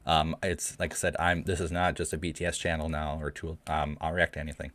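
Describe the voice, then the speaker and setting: A young man with an extremely deep voice talks to his viewers in a rambling livestream Q&A. He says "um" often and restarts his sentences. The sound is dry and close, and his voice trembles slightly in a cold room. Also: little pitch movement, a little fast, a bit bright, no echo. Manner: rambling, many ums and restarts. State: shaky voice from the cold. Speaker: streamer hosting a live Q&A. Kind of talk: casual livestream chat. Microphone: home streaming mic.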